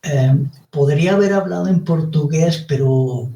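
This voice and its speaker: A man from Spain with a Spanish accent, a podcast guest explaining his views at a slow, deliberate and hesitant pace. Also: a little high, dark tone. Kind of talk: explanatory monologue. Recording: roomy, distant from the mic.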